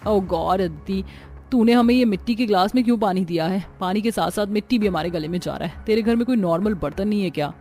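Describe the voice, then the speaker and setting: A woman's voice: mid-range voice, quick pace, dark tone, soft background music, close to an everyday voice. A woman voicing snobbish, disdainful young women in a Hindi cartoon story, complaining haughtily. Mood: disgusted, haughty. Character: snobbish, disdainful young female friends of poor girl.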